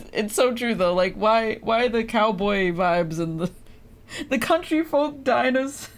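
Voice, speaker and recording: A woman's voice: fairly high pitch, expressive, takes it slowly. A woman chatting casually on a gaming livestream, with playful, rambling commentary.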